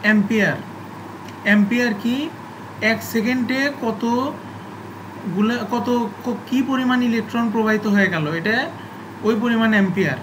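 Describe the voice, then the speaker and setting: A man giving an informal, unscripted technical explanation in a halting way, often repeating phrases for emphasis. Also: high voice. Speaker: explainer of physics and electronics. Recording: clearly noisy, constant background hum.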